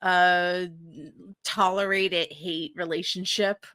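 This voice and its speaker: A woman co-hosting a podcast, chatting casually and often halting to correct herself. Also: on the low side, level tone, sharp tone, clean tone, dry recording. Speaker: podcast co-host. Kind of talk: casual chat about a TV episode.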